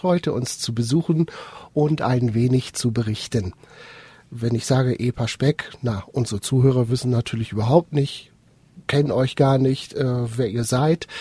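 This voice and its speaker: Mellow, husky male studio interviewer. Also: medium pitch, no echo. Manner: friendly, asks questions directly. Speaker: interview host.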